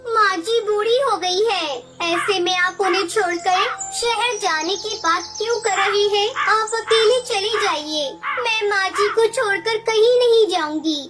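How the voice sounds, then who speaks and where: Woman voicing a very high-pitched earnest young bird wife. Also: measured pace, hardly any pauses, loud music behind the voice. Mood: worried, protesting. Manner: earnest, pleading. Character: young female bird, devoted tender-hearted wife and daughter-in-law.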